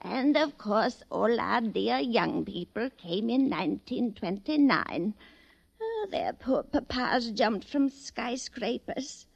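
A woman's voice: fairly high pitch, expressive, takes it slowly, vocal fry, heavily acted. An actress playing a grand, snobbish old society woman in a 1940s radio drama, condescending and commanding, with genteel menace. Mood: gracious, gossipy. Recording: dull, lo-fi vintage radio recording.

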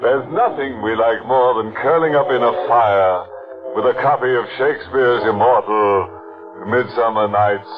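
A man acts a ghoulish host of an old radio mystery show. He speaks very slowly at a medium pitch, savouring macabre jokes with mock-genteel, sardonic relish. The recording is muffled, with music behind him. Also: lightly acted. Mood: sly mock-genteel. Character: ghoulish, tongue-in-cheek male horror show host. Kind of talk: 1940s radio horror show hosting.

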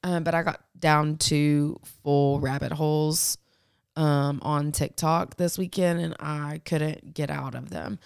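A woman in her thirties, a podcast co-host, chatting casually and telling stories with animated, laugh-filled energy. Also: sits low, takes it slowly, vocal fry, clear, resonant tone. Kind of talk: casual chat.

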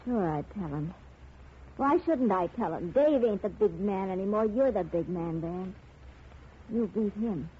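An American actress playing a brassy, excitable gangster's moll in a 1950 radio crime drama, slangy and brash. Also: female voice, mid-range voice, unhurried, natural voice. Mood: awed, defiant. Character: brassy, excitable gangster's moll who worships strong men. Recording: noisy, dull vintage radio recording.

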